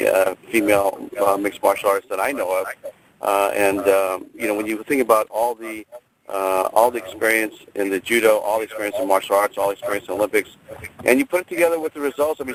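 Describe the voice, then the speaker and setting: Man, lowish voice, promoter answering press questions, halting and repetitive, clear crowd murmur behind. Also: rough recording. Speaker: fight promotion executive.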